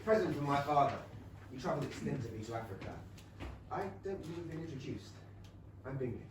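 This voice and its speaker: An actor playing a boyishly enthusiastic, rich young Regency gentleman with an English accent in a staged comedy reading. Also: medium pitch, lively intonation, fast, lightly acted. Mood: polite, friendly. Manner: eager, gushing. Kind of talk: staged reading of a comic play. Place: small theatre stage. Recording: roomy theatre, distant mic, faint noise.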